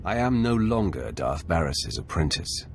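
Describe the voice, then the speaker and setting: A man voicing a calm, commanding, menacing Sith warrior in a video game, with a gravelly voice and dry delivery. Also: low voice, moderate pace, music in the background, exaggerated voice. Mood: calm, assured.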